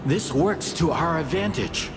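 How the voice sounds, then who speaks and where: An actor voicing a scheming ancient god who speaks from inside a gauntlet in a fantasy game, creaky and reverberant. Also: male voice, a little high, lively intonation, unhurried. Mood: eager, scheming. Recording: long hall reverb under loud music.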